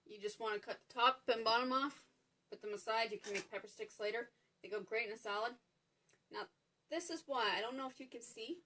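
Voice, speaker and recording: A woman with a Canadian accent, her voice pitched a little high, hosts a live cooking stream from her home kitchen. She is chatty and apologetic, greeting viewers by name as she explains each step. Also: a little fast, bright, forceful tone. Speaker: cooking show host.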